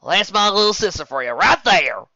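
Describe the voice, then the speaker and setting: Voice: medium pitch, big pitch swings, normal speed, pushed and bright, no room sound, muffled recording, pitched above the performer's own voice, exaggerated voice. A narrator voicing a plainspoken female country farm pony, a proud big sister with a folksy, emphatic manner.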